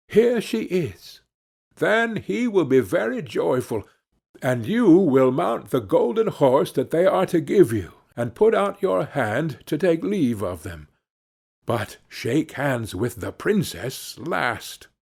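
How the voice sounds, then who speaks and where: A man plays a wise old fairy-tale fox with a husky, gravelly voice. He gives counsel earnestly and slowly, with lively rises in pitch on the important words. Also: exaggerated voice. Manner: measured, instructive, emphatic. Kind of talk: storybook character voice.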